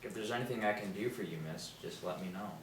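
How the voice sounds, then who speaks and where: A man as a creepy old low-voiced cabbie. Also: fast talker, natural voice. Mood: oily, obliging. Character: creepy, leering sixty-year-old male taxi driver. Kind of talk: script table read. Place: small room indoors. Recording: roomy, distant mic, faint noise.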